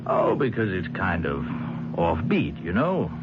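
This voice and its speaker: Animated, folksy newspaper columnist. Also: male voice, deep voice, unhurried. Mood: uneasy. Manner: folksy, chatty, stammering. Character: chummy, roguish male newspaper columnist, easygoing and hesitant. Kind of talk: old-time radio drama acting. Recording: muffled, noisy old radio recording.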